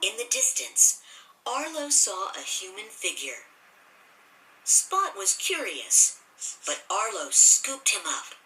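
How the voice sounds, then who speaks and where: A woman narrating a children's story as an expressive, scripted read-aloud. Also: mid-range voice, slow pace, a little hiss or hum. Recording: roomy, slightly distant, music behind.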